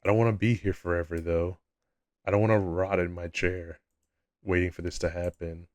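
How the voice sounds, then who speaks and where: Young man, very low voice, dry close desk mic, casual stream chat, rambling laid-back delivery. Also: little pitch movement, moderate pace, darkish tone, no room sound. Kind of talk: casual live-stream chat, reading chat aloud.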